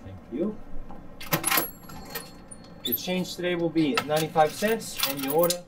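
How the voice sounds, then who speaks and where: An actor playing a brisk counter worker reciting his routine at an Italian restaurant. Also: on the high side, animated, heavy background noise. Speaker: restaurant cashier.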